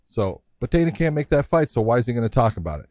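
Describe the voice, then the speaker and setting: A man co-hosting a casual MMA podcast, matter-of-fact, reasoning through his points out loud. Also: medium pitch, sing-song, quick pace, dry recording, studio quality. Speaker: podcast co-host.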